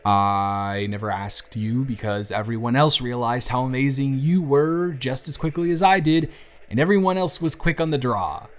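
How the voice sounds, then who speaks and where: A young man playing an earnest, lovestruck best friend in an intimate audio roleplay, speaking in a halting, conversational way. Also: mid-range voice, lightly acted. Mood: earnest, confessing. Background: background music.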